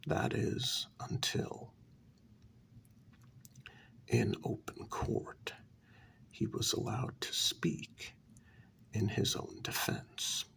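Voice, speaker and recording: A grave, troubled male asylum staffer acted by a man recounts a dark case in an ASMR role-play. His soft voice sits low and crackles with heavy fry, often slipping into a half-whisper. He speaks in short, deliberate phrases with long pauses. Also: steady tone, heavily acted. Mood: grave, hushed.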